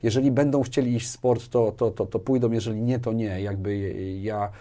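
A man's voice: medium pitch, brisk. A middle-aged man talking casually as an interview guest, often repeating words as he thinks, on a lapel mic in a small studio. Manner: animated hand gestures, repeats words as he thinks. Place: small furnished indoor studio.

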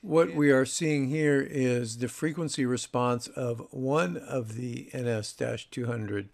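A man's voice: medium pitch, slow, vocal fry. A man presenting an audio review, explaining technical details in a casual, informal way.

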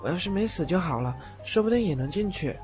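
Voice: medium pitch, expressive, fast, darkish tone, close to an everyday voice. An actor playing a timid, naive, hungry teenage boy ghost in an audio drama, with a meek, hesitant manner. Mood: grateful, curious. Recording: slightly dull recording with music behind.

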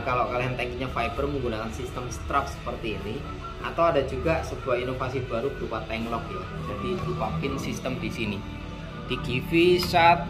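A man running a casual, chatty hands-on product demo in an indoor motorcycle shop, talking to viewers like friends. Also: medium pitch. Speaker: motorcycle gear shop owner demonstrating a product. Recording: echoey room with background music. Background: background music.

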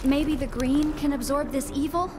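Gentle worried young woman played by an actress for a game; higher register, clear music behind. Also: steady tone, moderate pace, natural voice. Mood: anxious, pleading. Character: anxious young human woman, pleading and gentle. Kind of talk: video game voice acting.

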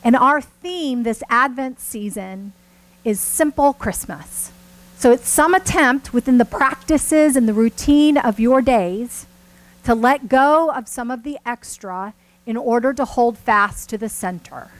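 High-pitched woman preaching. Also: low-quality recording. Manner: deliberate, emphatic phrasing with audience check-ins. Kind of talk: church sermon. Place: church sanctuary with a seated congregation.

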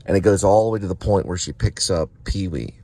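A man's voice: low-pitched, a little fast, warm tone. A man vlogging casually while on a delivery run, talking in a rambling, offhand way that trails off and repeats itself. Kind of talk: casual talk to camera. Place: in and around a car on delivery rounds.

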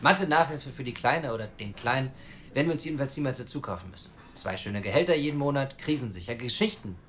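An actor playing an earnest, self-assured journalist, articulate and persuasive, in a naturalistic audio drama. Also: male voice, medium pitch, animated, quick pace, a bit bright, slight background noise, natural voice. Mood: confident, persuasive.

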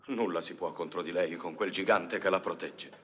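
Low-voiced, pleading henchman. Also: brisk, fairly bright tone, lo-fi sound. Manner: pleading, earnest. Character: servile henchman protesting his loyalty. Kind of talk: vintage Italian film acting.

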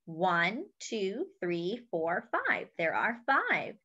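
Young woman, children's storytime reader, sing-song and bright, slightly muffled home webcam sound. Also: mid-range voice, very animated, breathy edge. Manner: cheerful, slow and sing-song, counting aloud. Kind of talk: reading a picture book aloud to children. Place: small room at home, indoors.

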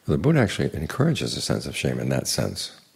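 An older man, a Buddhist monk, giving an evening dharma talk in an unhurried, plain teaching manner with dry humor. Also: wildly swooping pitch. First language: English. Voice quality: very deep gravelly voice.

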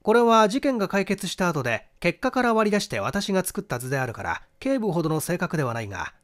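A man narrating a mystery novel in a theatrical, deliberate storytelling manner. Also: high-pitched, sing-song, a bit bright. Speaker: audiobook narrator and stage actor.

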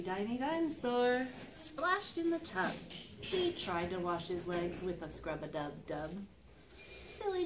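A middle-aged woman, a children's librarian, reading a picture book aloud to young children in an expressive, sing-song way. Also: on the high side. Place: library reading area with magazine racks, indoors. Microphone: camera microphone a few feet away. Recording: faint background room noise.

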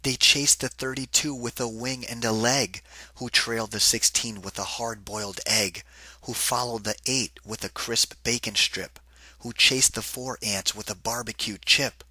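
A man giving an interactive children's read-aloud in a deliberate, singsong rhythm, like a teacher with a picture book. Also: mid-range voice, fairly even intonation, pushed and bright, husky, no echo, poor audio.

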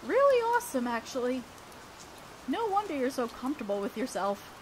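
A woman playing an awkward, earnest teenage girl student council president in a romantic audio roleplay, halting and bashful as she talks. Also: high voice, sing-song, a little hiss or hum, rough recording. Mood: touched, flustered. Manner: halting, bashful, breaking off mid-sentence. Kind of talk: romantic audio roleplay acting, one-sided dialogue. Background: rain sounds.

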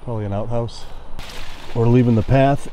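A man's voice: medium pitch, fairly even intonation, moderate pace, warm tone, a little hiss or hum, low-quality recording. A man casually narrating as he explores overgrown ruins outdoors, chatty and joking, with a handheld camera mic. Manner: chatty, curious, jokey. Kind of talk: casual walking vlog narration.

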